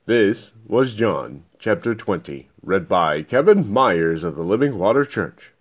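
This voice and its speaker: Low-pitched man reading scripture. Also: expressive, measured pace, breathy edge. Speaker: Bible reader.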